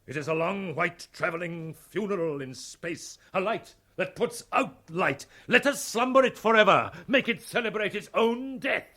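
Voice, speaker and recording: Husky, fevered old starship captain. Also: male voice, sits high, bright, forceful tone, full character voice. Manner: grandiose, poetic declamation. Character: blinded, obsessed, zealous old starship captain. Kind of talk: 1960s British radio drama acting.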